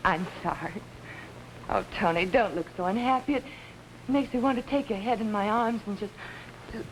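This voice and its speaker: An actress playing an ambitious, manipulative young pianist in early 1950s television drama, pleading in a melodramatic way. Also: female voice, mid-range voice, moderate pace, close to an everyday voice. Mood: wounded, tender. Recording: noisy old 1950s TV soundtrack.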